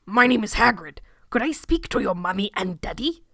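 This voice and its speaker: A woman's voice: medium pitch, big pitch swings, moderate pace, bright, forceful tone, no echo, clean studio sound, full character voice. A woman voicing a huge, friendly, pious man in a story, kindly and earnest in a preachy way.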